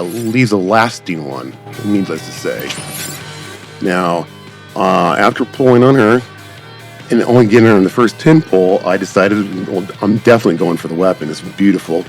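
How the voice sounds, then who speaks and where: A man's voice: fairly low pitch, moderate pace. A man giving casual, rambling gameplay commentary, talking directly to viewers as a channel host. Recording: background game music.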